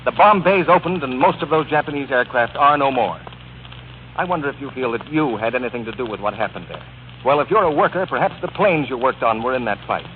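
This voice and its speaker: Animated male announcer, tinny old radio. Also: medium pitch, fairly quick. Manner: earnest, rhetorical, direct address to listeners. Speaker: radio announcer reading a war bond appeal. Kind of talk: 1940s radio scripted appeal. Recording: tinny, noisy 1940s radio broadcast.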